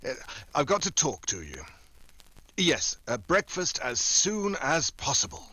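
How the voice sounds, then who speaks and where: An actor playing a wry, tough American secret agent in an old-time style radio drama. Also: male voice, on the high side, huge pitch swings, a little slow, sharp tone, heavily acted. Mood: alarmed, urgent. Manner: wry, sardonic.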